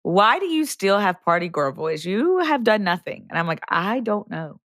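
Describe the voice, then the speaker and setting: A woman co-hosting a podcast in casual chat, hoarse after an illness, telling stories in a loose, chatty way. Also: mid-range voice, big pitch swings, moderate pace, fairly bright tone, no room sound, clean studio sound. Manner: chatty, loose storytelling with many fillers. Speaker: podcast co-host and middle school teacher.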